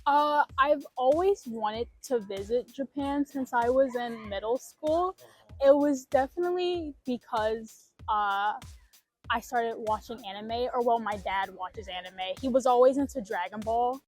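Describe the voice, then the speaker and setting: Young woman from missouri, higher voice, giggly, animated storyteller. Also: measured pace, soft background music. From Missouri, USA. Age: young adult. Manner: giggly and animated when telling stories. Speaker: student interviewee. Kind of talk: casual chat.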